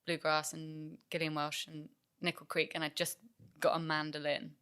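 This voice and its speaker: Low-pitched chatty young woman, bright tone. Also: completely flat delivery, normal speed, clean tone. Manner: chatty, many fillers and restarts. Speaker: musician guest. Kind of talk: casual interview chat.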